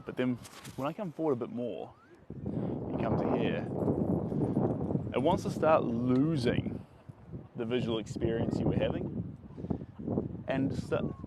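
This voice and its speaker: A young man teaching painting on a live stream, enthusiastic and chatty, his voice a bit strained by the wind, recorded on a phone outdoors on a beach. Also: medium pitch. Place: sandy beach outdoors on a sunny day. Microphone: phone held at arm's length. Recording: phone audio with clear wind and outdoor noise.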